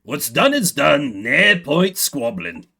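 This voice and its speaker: A man's voice: fairly high pitch, very animated, slow pace, vocal fry, bright, forceful tone, exaggerated voice. A man voicing a brash, cheerful rodent bagpiper from a video game, with a Scottish accent and a folksy manner. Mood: breezy, dismissive.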